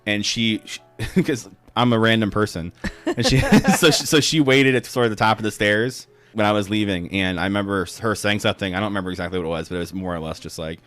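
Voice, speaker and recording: A man's voice: on the low side, fast, hardly any pauses, fairly bright tone. A man co-hosting a podcast in casual, chatty banter. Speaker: podcast co-host.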